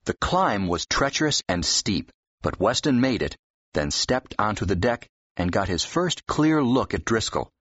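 A man with a deep, husky voice reading fiction expressively as an audiobook narrator. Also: very animated, a little slow, fairly bright tone, no room sound. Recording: dull, slightly muffled audio.

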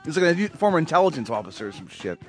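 A man co-hosting a radio talk show, chiming in from off-mic with quick wisecracks. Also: on the high side, very animated, soft background music. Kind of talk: casual radio banter.

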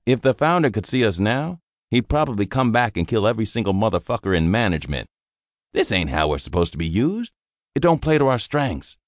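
A man voicing a gruff, foul-mouthed veteran army sergeant who drawls in slang. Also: lowish voice, sing-song, brisk, no room sound, studio quality, voice pushed up. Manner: crude, swearing, drawling slang.

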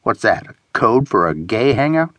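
A man's voice: mid-range voice, very animated, normal speed, a bit bright, no echo. A man voicing a grumpy, reluctant, sardonic traveler in audiobook dialogue. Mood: dry, sardonic. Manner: grumbling, sardonic.

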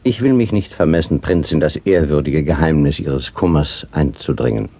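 A calculating priest at a royal court. His voice is very low, dark and a little raspy. He addresses his betters with measured, insinuating deference in classical drama style. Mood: solemn, probing. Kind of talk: classical German stage drama acting.

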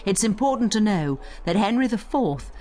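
A woman's voice: medium pitch, animated, moderate pace, dark tone, slight background noise. A woman narrating a scripted educational guide, explaining emphatically and addressing the listener directly. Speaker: narrator and tutor of an audio study guide.

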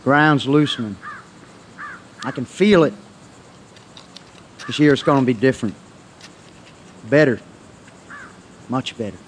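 Actor as a gruff farmer, outdoor ambience. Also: male voice, mid-range voice, slow, long pauses, lightly acted. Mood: weary, hopeful. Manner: folksy, plainspoken. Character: gruff, suspicious, hardworking husband on a failing farm. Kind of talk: naturalistic audio drama acting. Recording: noisy outdoor farm ambience with crows.

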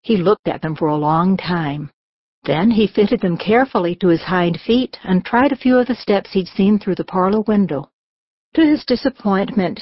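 A woman's voice: lowish voice, dry recording. Woman narrating a children's story, muffled recording. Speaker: audiobook narrator. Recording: dull, lo-fi audiobook sound.

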